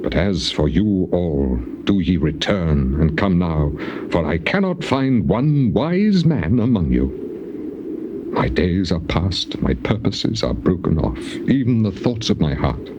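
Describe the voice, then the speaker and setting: A man's voice: deep voice, very animated, takes it slowly, muffled recording, noisy background, heavily acted. An actor playing an anguished, afflicted man lamenting to God in a theatrical, declamatory scripture dramatization. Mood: despairing. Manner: declamatory, mournful, archaic phrasing.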